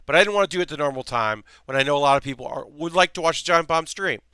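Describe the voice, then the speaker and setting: A man's voice: a little high, quick pace, bright, forceful tone, clear, resonant tone, no echo. Chatty man with a slightly worn voice. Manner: rambling, chatty, many fillers and restarts. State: slightly losing his voice. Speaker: streamer building model kits. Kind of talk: casual live-stream chat while building.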